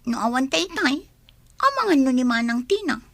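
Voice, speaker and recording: Bratty young daughter, high-pitched, piercingly bright, animated, haughty, radio drama. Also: female voice, normal speed, dry recording. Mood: tattling, eager. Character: spoiled, greedy, haughty young daughter of the house.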